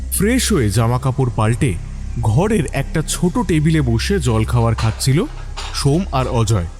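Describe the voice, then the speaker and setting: Audio story narrator, male. Medium pitch, very animated intonation, music bed. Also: moderate pace.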